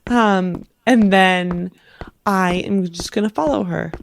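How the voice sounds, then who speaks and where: A woman's voice: mid-range voice, takes it slowly, creaky. A woman chatting casually as a player in a tabletop role-playing game. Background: music.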